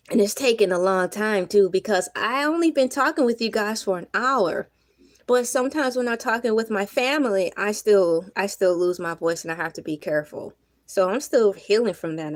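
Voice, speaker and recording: A woman hosting a casual livestream, talking earnestly to her viewers with a slightly hoarse voice. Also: medium pitch, dry recording. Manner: chatty, earnest, reflective, laughs often. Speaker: livestream host.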